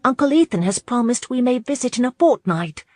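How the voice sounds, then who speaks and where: A woman's voice: on the high side, expressive, fairly quick, a little mellow, no room sound, pitched above the performer's own voice. A woman voicing a cheerful young noblewoman, effusive and beaming, in an audiobook romance. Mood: happy, eager. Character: cheerful, gushing young noblewoman, warm friend.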